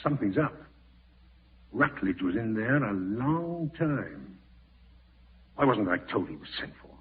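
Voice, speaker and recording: On a tinny old radio recording, a domineering, suspicious schemer. His rough voice swings in pitch as he snaps curt orders and probing questions. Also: sing-song, a little slow, full character voice. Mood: bossy, menacing. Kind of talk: 1940s radio horror acting. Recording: tinny vintage radio broadcast.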